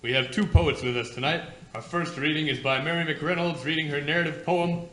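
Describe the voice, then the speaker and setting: Bright-voiced man announcing in an echoey room. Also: a little high, steady tone, a little fast, slight background noise. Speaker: radio show announcer. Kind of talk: presenting a community radio variety show. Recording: echoey hall, faint music.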